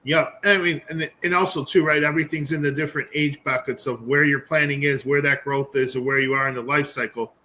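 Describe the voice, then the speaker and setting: A man co-hosting a talk show, adding quick points and tagging them with "right?". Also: fairly high pitch, steady tone, sharp tone. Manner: chimes in with quick agreement, "right?" tags. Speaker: co-host. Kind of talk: casual financial talk show chat.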